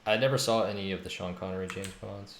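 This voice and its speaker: A man with a gravelly voice chatting casually and muttering to himself as he works. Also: fairly low pitch, fairly even intonation, fast. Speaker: game developer streaming while he codes. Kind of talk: casual chat, muttering while working. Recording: noisy echoey room with keyboard clatter.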